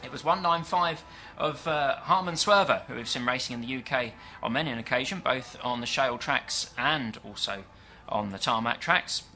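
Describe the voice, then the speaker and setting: A British man giving live commentary on a stock car race, chatty and explanatory, pausing often with fillers. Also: mid-range voice, pushed and bright. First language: English. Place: at a dirt track event.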